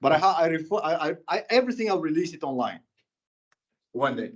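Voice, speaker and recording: Sudanese-accented man, impassioned public commenter, halting speech, muffled meeting recording. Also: a little high, very animated. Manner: impassioned, halting, with many restarts and repetitions.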